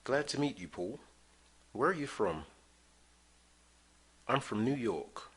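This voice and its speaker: A man reading out English phrases slowly for learners to listen and repeat, as a language-lesson teacher. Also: medium pitch, very animated, a bit bright, breathy edge.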